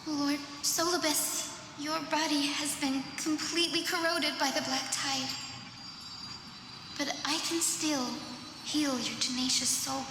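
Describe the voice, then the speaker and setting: A kind earnest healer girl from an anime game, high pitched, echoey w music. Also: fairly even intonation, slow. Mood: tender, solemn. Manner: earnest, compassionate, clearly enunciated. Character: gentle, earnest young female healer of heroic bloodline. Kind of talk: anime-style game voice acting. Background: loud game music.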